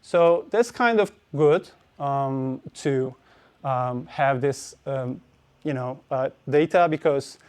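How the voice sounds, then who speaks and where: A man's voice: on the high side, clear, resonant tone. A man giving a technical conference talk, hesitant, with many fillers and restarts. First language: Turkish. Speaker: conference speaker.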